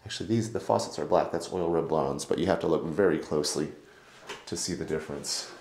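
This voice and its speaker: A man giving a relaxed, chatty walkthrough of a house for sale, moving between rooms and the backyard as he films. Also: a bass voice, a little fast. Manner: relaxed, chatty, lots of filler words. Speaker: real estate agent giving a home tour. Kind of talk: casual walkthrough narration. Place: house, indoors and outdoors.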